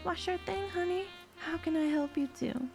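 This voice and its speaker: A woman plays a sly female con artist in an audio drama, flirting and teasing as if everything is a game. Her voice is high with plenty of vocal fry, over faint music. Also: normal speed. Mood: playful, teasing.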